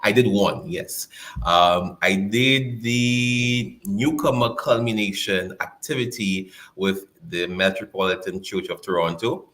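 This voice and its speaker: A young man from Saint Lucia, a guest in a casual interview, speaking softly with pauses and fillers. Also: on the low side.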